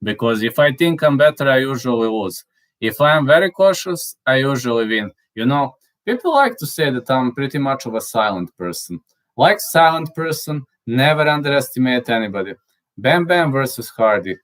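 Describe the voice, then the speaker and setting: A man, a native Serbian speaker, chatting casually and reading out viewer comments, halting with fillers and restarts and spelling out words he can't pronounce. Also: mid-range voice, animated, a bit bright. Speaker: channel host.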